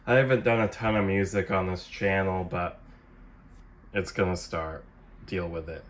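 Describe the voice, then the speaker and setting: A man's voice: low voice, fairly bright tone, slight background noise. A young adult man giving a casual, rambling show-and-tell as a channel host, self-deprecating and sardonic, with casual swearing. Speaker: host of a physical media collecting channel.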